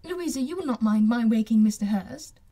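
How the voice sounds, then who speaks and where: A woman's voice: on the high side, lively intonation, warm tone. Woman playing an affected, haughty young society lady. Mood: impatient. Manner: affected, exclamatory, drawn-out. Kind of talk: audiobook character voice.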